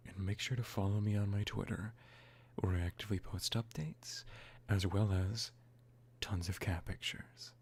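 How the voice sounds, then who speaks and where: A soft-spoken man, an ASMR creator, giving a hushed channel plug before a roleplay. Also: fairly low pitch, steady tone, normal speed, gravelly, slightly rough.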